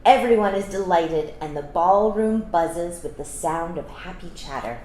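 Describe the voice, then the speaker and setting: A woman reading a children's story aloud, adding gentle, teacherly explanations for young listeners. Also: lowish voice, animated, measured pace. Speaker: storytime reader. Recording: roomy echo, some background noise.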